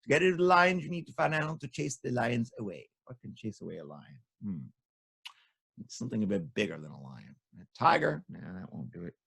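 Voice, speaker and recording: A man voicing a calm royal advisor in a children's story, reassuring and thinking aloud in a measured way. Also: a little high, gravelly, slightly rough, no echo, heavily acted. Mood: musing. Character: scheming, soothing male royal advisor with simple solutions.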